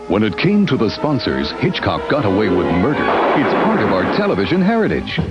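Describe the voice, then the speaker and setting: A man reading promo voice-over in a lively, upbeat selling delivery. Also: on the low side, fairly quick. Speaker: promo announcer. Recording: dull lo-fi TV audio over loud music.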